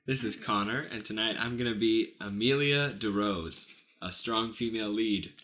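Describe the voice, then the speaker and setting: A man's voice: fairly low pitch, normal speed, fairly bright tone. A man, an improv actor, making casual announcements.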